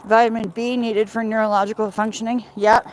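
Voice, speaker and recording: A woman's voice: on the high side, flat delivery, fairly quick, a little hiss or hum, poor audio. An American woman chatting casually and in a rambling way with livestream viewers, answering comments as she walks outdoors. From Michigan, United States. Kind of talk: casual livestream chat while walking.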